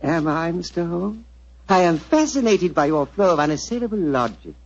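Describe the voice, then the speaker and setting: An actor playing a suave, haughty royal heir with formal, measured politeness and veiled menace, in 1940s radio drama style. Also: male voice, on the high side, big pitch swings. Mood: silkily menacing. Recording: dull old 1940s radio recording.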